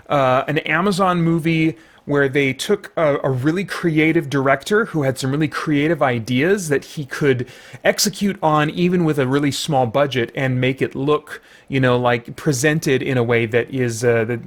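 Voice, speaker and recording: A man hosting a casual, unscripted film review in a halting, rambling, self-correcting way. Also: a little high.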